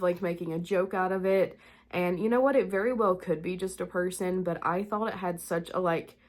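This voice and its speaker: A young woman hosting a spooky vlog, sounding stuffed-up and nasal and a bit short of breath, chatting casually with lots of fillers. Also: lowish voice. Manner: chatty, rambling with many "like" fillers. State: congested, nasal from illness. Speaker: spooky-content creator and host. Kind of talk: casual chat to camera.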